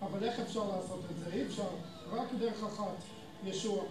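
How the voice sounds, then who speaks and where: A man giving an earnest personal testimony in Hebrew. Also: high-pitched. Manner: earnest, rushed. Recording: echoey video audio from a distance.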